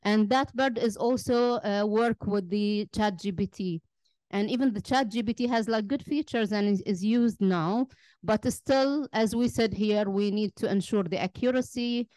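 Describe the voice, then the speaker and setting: A woman's voice: mid-range voice, moderate pace, mellow, no echo, rough recording. A woman speaking on a conference panel, hesitant, with many fillers and restarts. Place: conference room.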